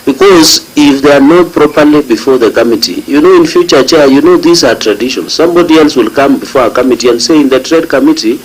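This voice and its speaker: A man's voice: mid-range voice, quick pace, few pauses, slightly rough, rough recording. A man, a senator arguing a procedural point, insistent and talking over the chair. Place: parliament committee room.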